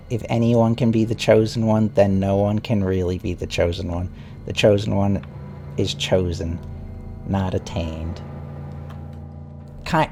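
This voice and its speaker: Low-voiced man ranting casually on stream. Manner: animated, rambling, emphatic, swears casually. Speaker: live streamer giving opinions. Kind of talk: casual rant to a live stream chat.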